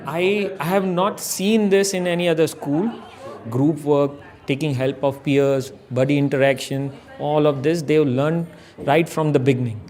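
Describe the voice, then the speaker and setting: A man's voice: a little high, darkish tone. A man who teaches, speaking in an explanatory interview testimonial.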